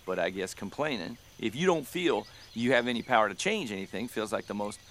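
A man's voice: on the low side, big pitch swings, a little fast, a bit bright, a little hiss or hum. A man playing a wry, grumbling 1930s folk singer in an audio drama, rambling in a folksy, ironic way. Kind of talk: period audio drama acting.

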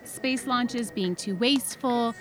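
An actress playing a sharp small-town newspaper reporter in an audio drama, questioning directly and skeptically. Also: female voice, on the high side, takes it slowly, faint music behind. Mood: probing.

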